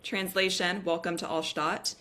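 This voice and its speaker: Female narrator for a screenplay table read, slightly low register, delivering scene headings and action. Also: little pitch movement, normal speed, sharp tone. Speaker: reader of stage directions.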